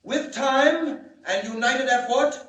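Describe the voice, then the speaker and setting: A man's voice: extremely high pitch, slow pace, fairly bright tone, lo-fi sound, heavily acted. A man acting a grave, urgent alien scientist in a 1940s radio drama, solemn and declamatory. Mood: earnest. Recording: echoey, distant 1940 radio broadcast.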